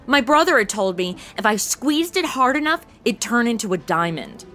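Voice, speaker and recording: A woman's voice: fairly high pitch, big pitch swings, moderate pace, sharp tone, faint music behind. A woman playing a sarcastic, blunt modern sidekick in a comedy audio drama, talking casually. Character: snarky modern young woman tagging along as sidekick. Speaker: show's lead and host.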